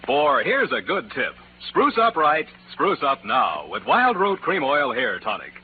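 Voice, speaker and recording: A man's voice: mid-range voice, wildly swooping pitch, a little slow, slight background noise. An American man announcing and reading ads in 1940s radio style, on a tinny old broadcast recording.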